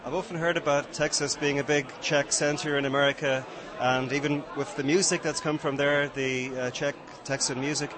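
A man's voice: a little high, fairly even intonation, normal speed. A male interviewer asking casual, curious questions at an outdoor event. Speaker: radio interviewer. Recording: on location with crowd chatter. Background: crowd chatter.

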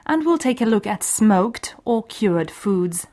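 A woman hosting a scripted radio language course, explaining things in a clear teaching manner. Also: medium pitch, expressive, takes it slowly, a little mellow.

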